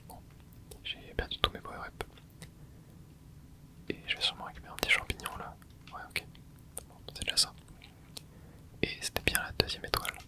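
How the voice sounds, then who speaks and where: An ASMR gaming host whispering a running commentary while playing, muttering quiet reactions into a close microphone. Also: normal speed, pauses often, poor audio.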